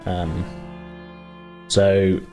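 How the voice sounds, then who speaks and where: Low-pitched man, hesitant game master. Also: flat delivery, extremely slow, a little mellow, faint music behind. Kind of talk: casual tabletop game refereeing.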